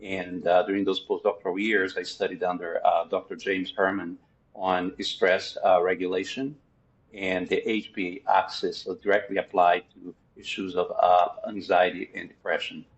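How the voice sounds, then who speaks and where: Deep-voiced Brazilian man, earnest and halting. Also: little pitch movement, dull audio. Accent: Brazilian Portuguese. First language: Portuguese. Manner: earnest, many "uh" fillers, methodical. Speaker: guest psychiatrist. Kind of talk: podcast interview.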